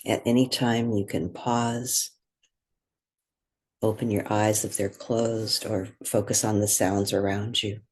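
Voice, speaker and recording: Slow, husky guided meditation voice. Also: mid-range voice, level tone. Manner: gentle, measured, with long pauses between phrases. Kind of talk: guided meditation, soothing spoken instruction.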